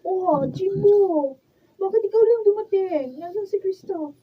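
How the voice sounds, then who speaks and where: A woman's voice: very high-pitched, measured pace. A Filipino woman playing a pouty, emotional young woman in a radio drama. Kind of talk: Tagalog radio drama acting. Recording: thin, phone-like studio camera sound.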